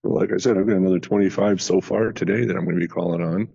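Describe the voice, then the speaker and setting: Gravelly guy on a group call, talks fast, casual, muffled lo-fi sound. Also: low-pitched, steady tone, dark tone. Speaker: coaching call participant. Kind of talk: casual chat. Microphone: video call. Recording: dull, lo-fi video call audio.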